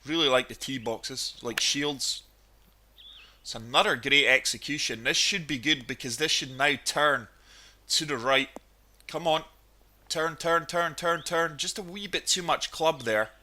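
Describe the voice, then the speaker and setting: Bright-voiced man with a cold. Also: fairly high pitch, measured pace. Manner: chatty, enthusiastic golf play-by-play. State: has a cold, sniffly. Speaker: gaming channel host. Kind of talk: gameplay commentary.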